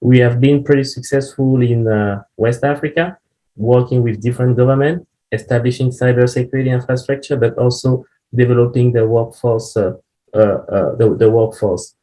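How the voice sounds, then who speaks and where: A man from Cameroon, a company CEO, speaks on a webinar panel. His voice sits at a middling pitch. He talks earnestly and pauses often, filling the gaps with little hesitations. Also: fairly even intonation, warm tone, poor audio. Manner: earnest, halting with frequent fillers.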